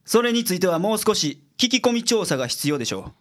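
Man acting a blunt Kansai detective, lively intonation. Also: high-pitched, fast talker. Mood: composed, explaining. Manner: explanatory, blunt with his juniors. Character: gruff, logical male private detective, secretly soft-hearted. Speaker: detective in a radio drama. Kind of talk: radio drama acting.

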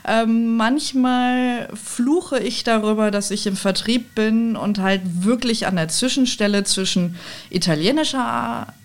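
A woman's voice: a little high, unhurried. A woman from the Rhineland, talking candidly as an interview guest with self-deprecating humour. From Rhineland, Germany. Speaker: podcast guest, technical sales professional. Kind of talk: conversational interview, telling anecdotes.

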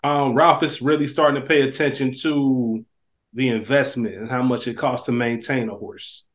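A mid-pitched man on a casual podcast. He speaks in a measured, thoughtful way and adds analytical points about the show. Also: steady tone. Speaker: podcast co-host. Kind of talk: casual chat.

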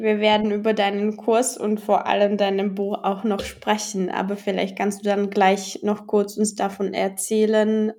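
Slow, mellow woman host. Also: mid-range voice, creaky. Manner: encouraging, many listening murmurs. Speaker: podcast host, German teacher. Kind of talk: casual interview.

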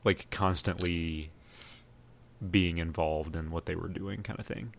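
A man with a very low voice talks as a podcast co-host. His delivery is casual and thoughtful, like someone working through an opinion with friends. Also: fairly even intonation, a little slow, creaky, slight background noise. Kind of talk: casual chat about TV shows.

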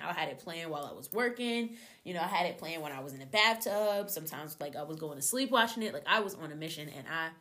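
A young adult woman hosting her own podcast, talking casually and animatedly to her listeners, her voice slightly hoarse from a cold. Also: on the low side. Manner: chatty, animated, addresses listeners as y'all. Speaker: podcast host. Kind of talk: casual solo podcast chat.

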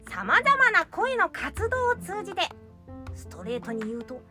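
A woman's voice: extremely high pitch, big pitch swings, a little slow, bright, forceful tone, faint music behind. A woman voice actor co-hosting an amateur radio show, in scripted intros and casual chat.

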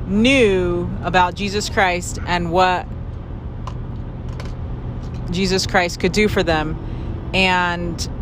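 A woman giving casual religious commentary in an informal, rambling, conversational way. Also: medium pitch. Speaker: family podcast host. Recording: noisy outdoor or in-car ambience.

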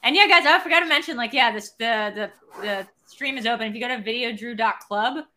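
A woman's voice: fairly high pitch, fairly quick, sharp tone, a little hiss or hum. A young adult woman co-hosting a casual film chat, rambling along with lots of "like". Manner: rambling, heavy use of "like". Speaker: podcast and stream co-host.

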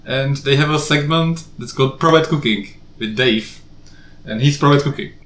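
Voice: mid-range voice, normal speed, fairly bright tone. Laughing podcast host in an echoey room. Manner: laughs often, asks many questions. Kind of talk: casual chat.

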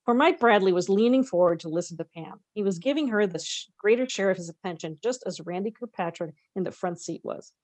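A woman's voice: medium pitch, animated, brisk, few pauses, darkish tone, dry recording. A woman co-hosting a podcast, expressively reading a novel aloud with casual, conversational asides.

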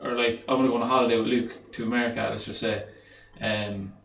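A man's voice: medium pitch, fairly even intonation, fast talker, vocal fry, fairly bright tone, slight background noise. A man in his twenties from Ireland, a gym owner and personal trainer talking on a podcast, rambling with many fillers and restarts. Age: 20s. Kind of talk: casual podcast chat. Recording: roomy, dull sound with faint ambience.

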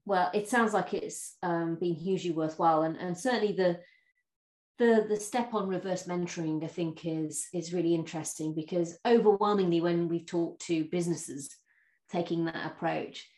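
A woman hosting an interview podcast, with a fairly low voice. She talks in a chatty, easy way, full of fillers and restarts. The room around her sounds echoey. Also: moderate pace. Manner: chatty, warm laughs, many fillers and restarts. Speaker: podcast host. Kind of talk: interview conversation.

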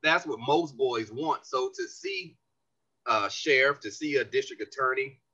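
A man, a community organization leader, explaining his views as a guest in a podcast interview, animated and earnest. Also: medium pitch, unhurried, slightly rough, dull audio.

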